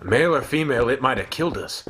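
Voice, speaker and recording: Man acting anxious sidekick, radio play, complaining, mid-pitched, background hiss. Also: expressive, quick pace, fairly bright tone, close to an everyday voice. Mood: grumbling. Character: grumbling, anxious male companion on a starship expedition.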